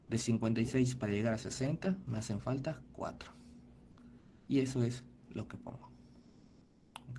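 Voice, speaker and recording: A man teaching a virtual class in a mellow, soft-edged voice. He works through each step methodically and stops to check that his students follow. There is a faint hum of noise behind him. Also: mid-range voice. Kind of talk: online class explanation.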